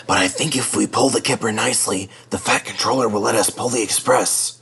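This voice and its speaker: A man's voice: lowish voice, brisk, gravelly, fairly bright tone, a little hiss or hum. Man as a hearty, encouraging engine driver. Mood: confiding. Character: hearty, friendly male engine driver, fond of his engine.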